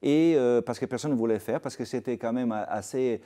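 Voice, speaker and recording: An older man from Italy with an Italian accent, a restaurateur telling stories in a TV interview, halting with many fillers. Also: mid-range voice, warm tone. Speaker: restaurateur guest. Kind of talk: TV interview, anecdotal.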